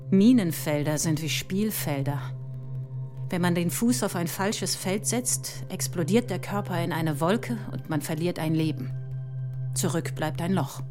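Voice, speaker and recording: A woman playing a resolute, low-voiced visionary mathematician. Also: lightly acted. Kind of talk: scripted literary radio drama monologue.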